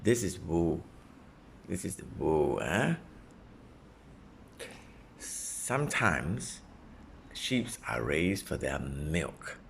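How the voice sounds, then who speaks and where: A man reading a children's nonfiction book aloud as a parent would, in a deliberate way suited to a young child. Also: very deep voice, big pitch swings, creaky, a little hiss or hum. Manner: deliberate, repeating and simplifying phrases for a child.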